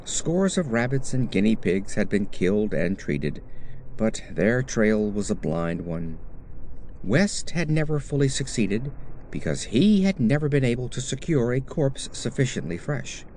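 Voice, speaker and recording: A man narrates a scripted horror tale in the first person. His voice is dark and mellow, at a medium pitch, and his delivery is measured and literary. Some noise sits behind the reading. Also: sing-song. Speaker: audiobook narrator.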